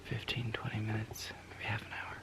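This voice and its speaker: A man filming himself while hunting outdoors, talking in a hushed whisper with a slightly scratchy throat, his voice picked up by a handheld camera. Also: fairly low pitch, completely flat delivery, normal speed. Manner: mostly whispering to avoid spooking deer. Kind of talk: self-filmed outdoor commentary. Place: outdoors on snowy sage hillside. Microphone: handheld camera mic.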